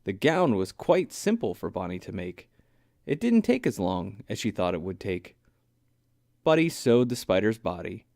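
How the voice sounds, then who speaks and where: A man narrating a rhyming children's story aloud with expressive, deliberate phrasing. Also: fairly low pitch, vocal fry, dry recording, studio quality.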